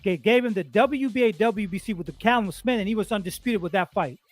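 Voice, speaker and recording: A man's voice: high-pitched, brisk, dry recording, soft background music. A man in his forties arguing boxing, insistent, repeating his points and stumbling over words. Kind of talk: heated boxing argument.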